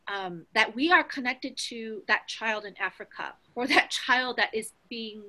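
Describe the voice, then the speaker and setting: A woman's voice: on the high side, unhurried, sharp tone, poor audio. A woman who teaches spirituality, talking casually and earnestly, with emphatic phrasing and the odd swear word.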